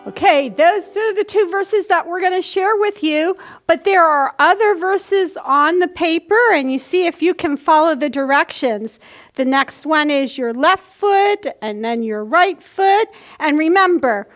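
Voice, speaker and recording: Slow, high-voiced woman teaching young children. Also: a bit bright. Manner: slow, explanatory, demonstrating actions as she speaks. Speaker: children's library storytime host. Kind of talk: simple step-by-step instruction for young children.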